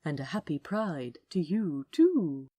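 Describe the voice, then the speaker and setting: A woman voicing a gentle, forlorn man, an earnest and fussy aquarium guide with old-fashioned politeness, in an audiobook drama. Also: sits low, big pitch swings, slow, pitched below the performer's own voice, heavily acted. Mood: fond, polite. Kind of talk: audiobook character acting.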